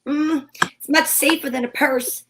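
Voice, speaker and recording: Plucky female private eye played by a woman, high-pitched, dramatic radio-play storytelling, faint background noise. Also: steady tone, measured pace. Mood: coy, indignant. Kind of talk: old-time radio detective acting, first-person narration.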